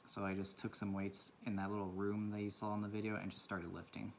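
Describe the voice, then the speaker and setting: A man with a low voice talking quietly in a casual, rambling and reflective way while he draws for his vlog. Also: monotone, quick pace, gravelly, slight background noise. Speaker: vlogger. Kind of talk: casual talk while drawing. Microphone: camera mic from a propped camera.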